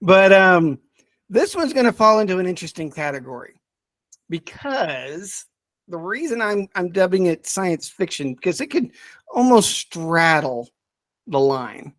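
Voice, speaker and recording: A middle-aged man chatting casually as a podcast's subject expert, thinking aloud in a halting way with repeated words. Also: high voice, sing-song, a bit bright, no echo, studio quality. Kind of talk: casual chat.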